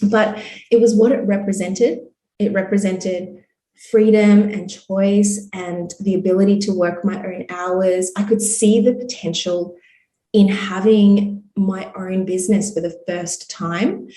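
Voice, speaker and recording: A woman's voice: mid-range voice, steady tone, unhurried, warm tone. Chatty Australian woman in a roomy space. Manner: chatty, upbeat, many fillers like "you know" and "like". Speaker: podcast guest, entrepreneur. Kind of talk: casual interview storytelling. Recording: roomy echo.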